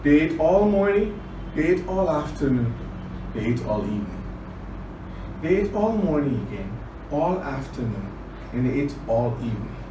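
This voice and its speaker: Male storyteller-teacher, slightly high voice, slow deliberate delivery, repetition for emphasis, distant noisy recording. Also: sing-song, roomy sound. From Liberia. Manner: deliberate, repeats phrases for emphasis. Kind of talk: children's storytelling and word lesson.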